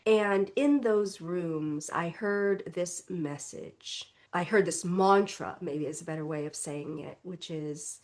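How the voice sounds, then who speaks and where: A woman reverend gives a slow, contemplative spiritual talk in a congested, stuffy voice. She speaks gently and reflectively, and often adds a soft "right?" as she explains. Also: lowish voice. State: congested, recovering from illness.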